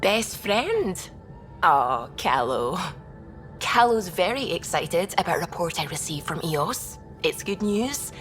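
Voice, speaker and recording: Slow-talking, composed crewmate. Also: female voice, fairly low pitch, very animated, a bit bright, soft background music, exaggerated voice. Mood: fond, amused. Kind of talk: video game voice acting.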